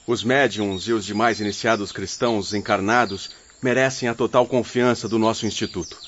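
A man's voice: lowish voice, fairly even intonation, moderate pace, a bit bright, slight background noise, close to an everyday voice. An actor playing a courteous male spirit leader, speaking formally and earnestly in a radio drama. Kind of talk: scripted radio drama acting. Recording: dull lo-fi audio, faint music.

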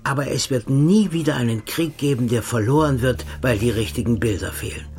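A man's voice: medium pitch, fairly quick, over music, lightly acted. A man playing a smug, sinister secret agent. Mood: coldly mocking. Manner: calm, mocking, sinister, drops to hushed tones. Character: cold, smug male agent of a secret service, middle-aged. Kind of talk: radio play acting.

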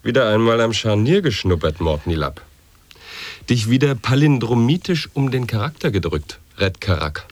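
A man's voice: medium pitch, big pitch swings, normal speed, no room sound. An actor playing an absurdly pedantic man, declaiming wordplay and grotesque nonsense in an avant-garde radio play. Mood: playful, declamatory.

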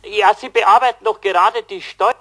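A man's voice: high voice, fairly even intonation, normal speed, pushed and bright. An actor playing a chatty, muddled, oblivious man on the telephone, rambling and interrupting himself in a comic German radio play. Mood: eager, rambling. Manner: rambling, hesitant, self-interrupting.

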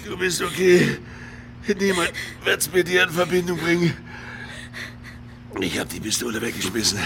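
An actor playing an angry, bitter killer on the run in a radio crime drama, berating and volatile. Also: male voice, on the high side, normal speed, close to an everyday voice. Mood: grim, subdued. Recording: noisy ambience behind.

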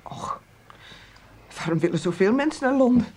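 A woman's voice: a little high, animated, moderate pace, a little mellow, breathy edge. An actress playing a guarded young city woman in a radio play, speaking in a dry, clipped, ironic way. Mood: pensive, guarded. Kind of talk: radio drama acting.

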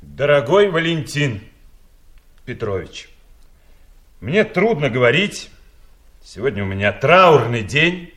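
An actor playing a maudlin older company director, speaking haltingly and sentimentally in a satirical radio play. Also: male voice, medium pitch, sing-song, a bit bright. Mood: mournful. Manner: halting, quavering sentimental speech. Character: maudlin trust director, older man, theatrically grieving.

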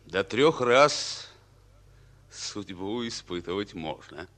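Stoic worn-out soldier, really deep but bright forceful voice, short military answers. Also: male voice, lively intonation, slow. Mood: resolute. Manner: terse, military. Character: stoic, exhausted army messenger, loyal and terse. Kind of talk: 1940s Russian radio war drama.

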